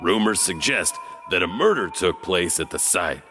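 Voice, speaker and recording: Man as low, lively, sly horror storyteller. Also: normal speed, music in the background. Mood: ominous, sly. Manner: theatrical, chuckling, teasing. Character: sly, enigmatic male janitor, horror storyteller guide. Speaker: host. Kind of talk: scripted horror anthology narration.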